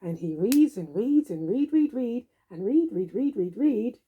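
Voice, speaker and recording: A woman reading a storybook aloud for young children in an expressive, theatrical, drawn-out way. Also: fairly high pitch, breathy edge, narrow, tinny audio. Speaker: storybook reader.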